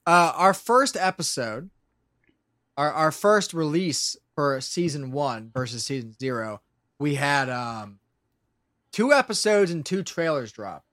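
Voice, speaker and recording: A man's voice: on the high side, animated, unhurried, a bit bright, no echo, clean studio sound. A man in his late twenties, a podcast host, chatting casually and rambling with lots of swearing and restarts. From Pennsylvania. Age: 20s.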